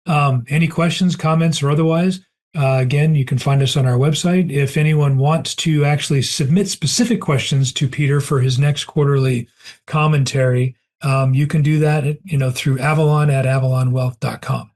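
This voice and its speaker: A middle-aged man hosting a podcast and wrapping up the episode in a chatty way, clearing his throat because he is losing his voice. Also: on the high side, steady tone, normal speed, a little mellow. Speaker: podcast host. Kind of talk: casual podcast outro.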